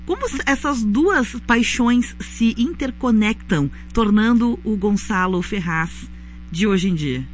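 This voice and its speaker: A woman's voice: on the high side, expressive, takes it slowly, faint music behind. A woman hosting a live radio interview, conversational, often tagging her sentences with a questioning "né". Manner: warm conversational with frequent "né?" tags. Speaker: radio host.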